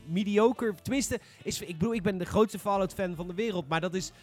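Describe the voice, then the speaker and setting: A man's voice: high-pitched, fairly quick, soft background music. A man in a casual, opinionated gaming discussion, emphatic, repeating phrases and swearing. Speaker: gaming podcast panelist.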